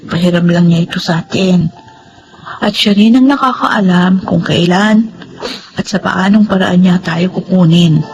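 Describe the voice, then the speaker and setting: A woman playing a gentle, steady young best friend offering comfort in a radio drama. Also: lowish voice, unhurried, lo-fi sound, music in the background. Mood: gentle consoling.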